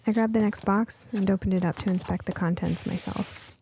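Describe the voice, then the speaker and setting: Woman, audiobook narrator, mellow tone, gravelly fry, expressive suspenseful delivery. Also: mid-range voice, fairly quick, slight background noise. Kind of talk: first-person audiobook narration.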